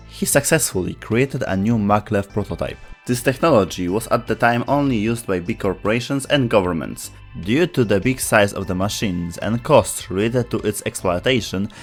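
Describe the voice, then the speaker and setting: A man reading scripted explainer narration as the narrator of a video. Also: on the low side. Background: background music.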